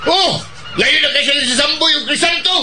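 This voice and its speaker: A man voicing an excited boy yelling out to his playmates in a Filipino radio drama. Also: very high voice, normal speed, exaggerated voice. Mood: excited, urging. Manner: shouting, calling out names. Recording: roomy, distant, loud music behind.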